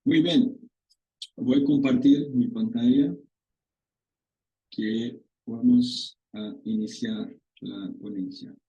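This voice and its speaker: A Brazilian researcher, a man whose first language is Portuguese, gives a conference presentation in halting second-language Spanish with a Brazilian Portuguese accent over a video call. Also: medium pitch, steady tone, warm tone, dull audio.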